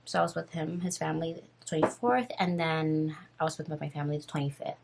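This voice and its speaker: A woman in her twenties with a raspy voice, casually telling a story on her vlog while doing her makeup, rambling and full of fillers. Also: deep voice, fairly even intonation, quick pace, background noise. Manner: rambling, filler-heavy and nervous. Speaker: vlogger.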